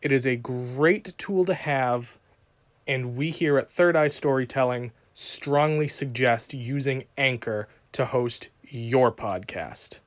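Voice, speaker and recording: Slow, upbeat male podcast announcer. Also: medium pitch, dry recording. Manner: enthusiastic, promotional. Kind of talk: reading a sponsor message and intro.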